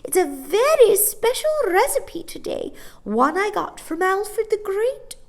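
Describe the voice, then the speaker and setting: Shrill, squeaky tiny old shopkeeper woman. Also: very animated, unhurried, full character voice. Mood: sweet, coaxing. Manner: exclamatory, swinging between scolding and cooing. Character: tiny old shopkeeper woman, bossy, fierce, gleefully sweet.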